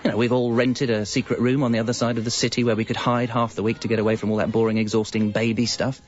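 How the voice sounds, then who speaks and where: An actor playing a wry, evasive British husband and father in his thirties, confessing in a deadpan, conversational comic narration. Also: mid-range voice, fast, natural voice. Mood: confiding, deadpan. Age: 30s. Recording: dull, lo-fi radio audio. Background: music.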